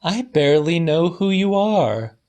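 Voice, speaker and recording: A man's voice: fairly high pitch, sing-song, a little slow, a little mellow, pitched above the performer's own voice. A man voicing an aggrieved, dismissive woman painter in an audiobook, complaining indignantly.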